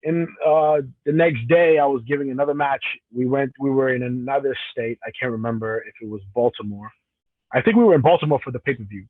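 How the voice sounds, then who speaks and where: A man's voice: mid-range voice, fairly quick, no room sound. Cameroonian man on a tinny phone line. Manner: rambling, many restarts and self-corrections. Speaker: professional wrestler, guest. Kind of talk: casual interview answers.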